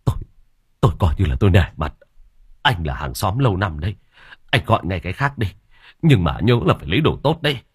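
A man's voice: sits low, sing-song, fast, pauses often, slightly rough, heavily acted. A man voicing an angry older villager scolding a neighbor about a faulty coffin.

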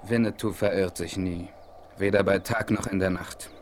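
An actor playing a noble, observant Apache chief in a 1970s radio play, speaking in a formal, measured, declamatory way. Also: male voice, low-pitched, fairly even intonation, lightly acted. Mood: proud, solemn. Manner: formal, declamatory, measured, addresses others in third person. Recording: noisy 1970s vinyl radio play rip.

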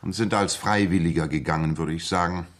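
An actor in a 1960s German radio play as a precise, coolly superior detective, measured, pedantic and dry. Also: male voice, low voice, steady tone, natural voice. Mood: precise, self-assured. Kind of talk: 1960s German radio play acting and first-person narration.

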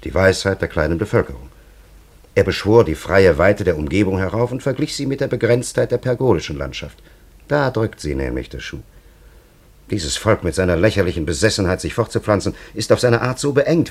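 Give this radio drama a very low-voiced old man, an ancient time traveller who is grave and cunning. His delivery is solemn and ornate, with wide swings in intonation. He addresses others with patronising authority. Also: darkish tone, slightly rough, full character voice. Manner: solemn, elaborate, theatrical storytelling. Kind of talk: radio play acting.

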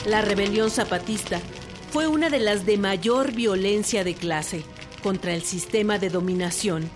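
A woman's voice: mid-range voice, measured pace. A woman with a Mexican accent reading scripted documentary narration. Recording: music behind the voice. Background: music.

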